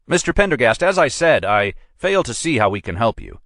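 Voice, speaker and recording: A man's voice: lowish voice, big pitch swings, normal speed, fairly bright tone, no room sound, studio quality, voice pushed up. A man voicing a curt, pompous museum lawyer who talks down to his visitors and turns them away. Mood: dismissive. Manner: brusque, dismissive, pompous.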